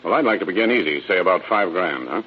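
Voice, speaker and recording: An actor playing a cool American federal agent posing as a buyer, brisk, confident and slangy, in an old radio drama. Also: male voice, deep voice, lively intonation, slightly rough. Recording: muffled old radio recording with hiss.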